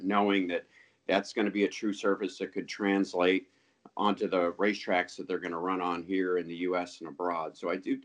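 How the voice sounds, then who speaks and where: A man speaking as a guest on a podcast interview, measured and hesitant, with many ums and restarts. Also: deep voice. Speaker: guest, sales announcer.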